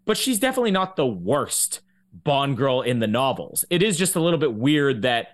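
A man's voice: mid-range voice, very animated, moderate pace. A man chatting casually and wryly as a podcast co-host. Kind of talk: casual chat.

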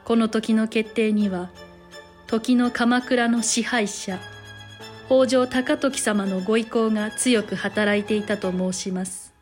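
An actress playing a dignified samurai mother, narrating a historical audio drama in courteous, formal, measured language. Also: on the high side, steady tone, soft background music. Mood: composed, solemn.

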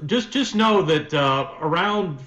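A man who works as a sports announcer, answering casually as a radio guest in measured, wordy, hesitant phrases. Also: sits high, animated, a bit bright. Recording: roomy, echoing sound.

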